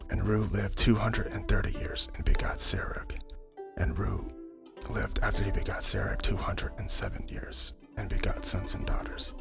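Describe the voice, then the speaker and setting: A man reading scripture as a hushed, breathy ASMR narration in a whispery voice. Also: sits low. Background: background music.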